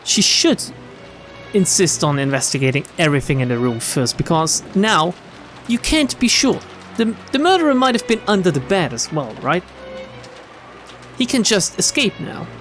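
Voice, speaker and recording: Animated man streaming, music behind. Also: sits high, moderate pace. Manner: expressive reactions, casual swearing. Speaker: game streamer. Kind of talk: let's-play reading of a visual novel with casual commentary.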